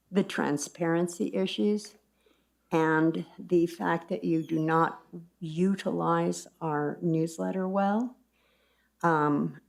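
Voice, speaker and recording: A woman delivers public comment at a village board meeting, deliberate and pointed. She speaks very slowly in a somewhat low, gravelly voice. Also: darkish tone.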